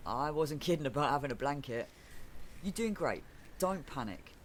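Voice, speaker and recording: A chatty, falsely reassuring mechanic's sidekick in an audio drama, played by a man. His voice sits a little high and moves about a lot. Faint noise runs underneath. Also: lively intonation, moderate pace, lightly acted. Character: chirpy sinister male mechanic sidekick.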